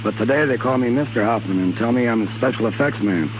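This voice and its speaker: A man's voice: mid-range voice, fast talker. A man as a guest in a scripted 1930s radio interview, reading prepared answers in a matter-of-fact way. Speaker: guest, special effects man. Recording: tinny 1930s radio with faint noise.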